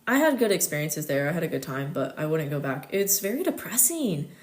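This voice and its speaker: A young woman from the United States talks in a relaxed, loose way, answering comments as she goes. Her voice sits a little low for a woman. She fills her talk with little fillers and easy laughs. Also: brisk. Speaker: astrology content creator. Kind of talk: casual livestream chat answering comments.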